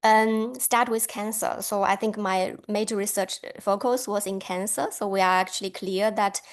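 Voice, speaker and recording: Woman, academic lecture, video call, mid pitch, light laughs. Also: steady tone, moderate pace. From China. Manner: friendly, with frequent light laughs.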